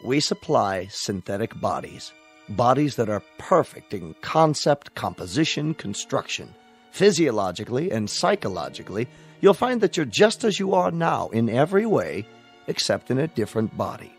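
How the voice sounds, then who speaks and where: An actor playing a courteous, persuasive corporate salesman in a radio drama, with a polished, flattering manner. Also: mid-range voice, normal speed, breathy edge, faint music behind.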